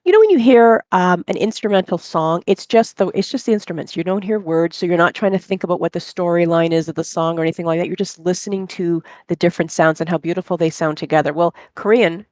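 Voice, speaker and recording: A native English speaker chatting casually, telling rambling, animated stories and voicing their own thoughts aloud. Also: medium pitch, fast talker, few pauses, no room sound, studio quality. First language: English, speaks only one language. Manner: rambling, animated anecdotes with voiced inner thoughts. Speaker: interviewee, online language-club member. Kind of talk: casual conversational storytelling.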